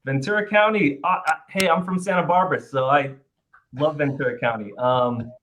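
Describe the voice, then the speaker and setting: A man's voice: a little high, moderate pace, a bit bright. A man hosting a webinar over a video call, upbeat and chatty as he welcomes attendees. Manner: upbeat, chatty, many fillers and restarts. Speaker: webinar host. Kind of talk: webinar presentation.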